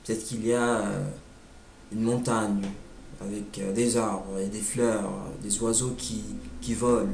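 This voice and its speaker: American man, native English speaker, unhurried and hesitant, slightly low voice, roomy sound. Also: level tone, gravelly, darkish tone, a little hiss or hum. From United States. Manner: hesitant, searching for words with many "euh" fillers. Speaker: language learner sharing his experience. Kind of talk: casual monologue.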